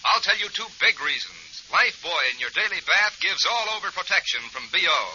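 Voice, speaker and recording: A man's voice: high-pitched, sing-song, brisk, sharp tone. A man delivering an emphatic hard-sell commercial in 1940s radio style. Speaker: advertising announcer. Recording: dull, hissy 1940s radio recording.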